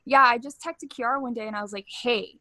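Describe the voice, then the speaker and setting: A woman's voice: a little high, steady tone, quick pace, bright, forceful tone. A woman in her twenties from Hawaii, co-hosting a podcast with blunt, cheerful banter and plenty of swearing. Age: 20s. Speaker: podcast co-host. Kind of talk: casual chat.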